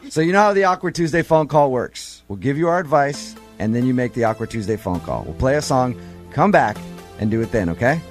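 Fast-talking male morning radio host. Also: medium pitch, expressive, soft background music. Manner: joking, sarcastic. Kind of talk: morning radio talk show banter.

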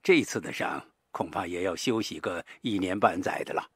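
A man voicing a grave, calm general who speaks as a consoling comrade in an audiobook. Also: low-pitched, moderate pace, husky, dry recording, studio quality, pitched below the performer's own voice, full character voice.

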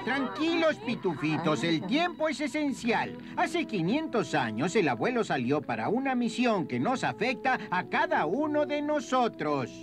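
An old elf village leader in a cartoon, authoritative and calm. The delivery has lively swings of pitch, with music in the background. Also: male voice, measured pace, few pauses. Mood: urgent. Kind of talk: animated cartoon dub acting.

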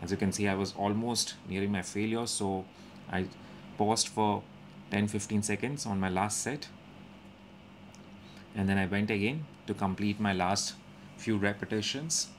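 A young man calmly narrating his gym workout as a voice-over, explaining each step with frequent pauses. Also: lowish voice, slight background noise. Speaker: fitness vlogger. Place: indoor gym.

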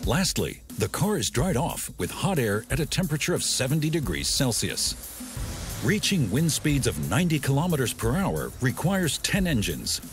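A male documentary narrator delivers a scripted voice-over in a measured, informative tone. His pitch moves widely through each sentence and sits mid-range for a man. Music plays clearly beneath the voice. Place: studio voice-over. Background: background music.

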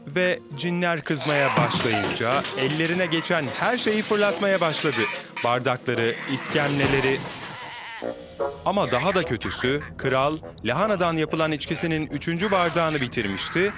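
A man narrating a cartoon story in an even storytelling tone. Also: a little high, normal speed. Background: music.

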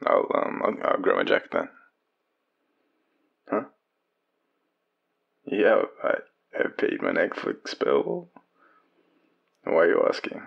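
An Australian man playing a shy, easygoing young man smitten with his friend, speaking haltingly in an intimate audio roleplay. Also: low-pitched, huge pitch swings, gravelly, husky, highs cut off, exaggerated voice. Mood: awkward, casual. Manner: hesitant, halting, chuckling, stammering.

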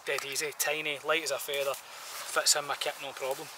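A man's voice: on the high side, flat delivery, fairly quick. A man, giving a casual, rambling gear review outdoors in colloquial language. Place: outdoors in a woodland camp. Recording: distant outdoor mic, some background noise.

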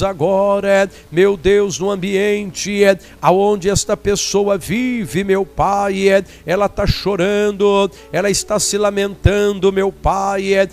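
A man's voice: sits high, gravelly. A man with a Brazilian accent leads a fervent intercessory prayer as a preacher, pleading in repetitive phrases punctuated with short interjections. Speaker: evangelical preacher leading a prayer.

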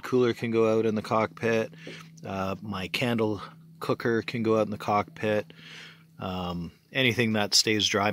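A man chatting casually as he films his evening routine in a small sailboat cabin, relaxed, with restarts and friendly "eh?" tags. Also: on the low side, slight background noise. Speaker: sailboat designer and vlogger. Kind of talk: casual how-to vlog narration.

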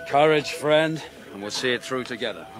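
A man's voice: mid-range voice, moderate pace, natural voice. Cocky warrior prince, played by a man, music behind. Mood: hearty. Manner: commanding, wry, hearty. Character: bold, cocky warrior prince commanding his soldiers. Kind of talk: historical radio drama acting.

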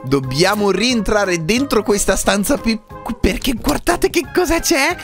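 A man in his twenties giving playful, excitable, theatrical gameplay commentary straight to his viewers. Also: high voice, measured pace, gravelly, soft background music. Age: 20s. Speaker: gaming YouTuber. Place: indoor room at home, webcam setup.

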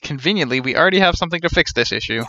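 A man's voice: a little high, animated, very fast, bright, forceful tone, dull audio. A man in his thirties giving casual, joking live commentary on a game in a wry way. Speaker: game streamer.

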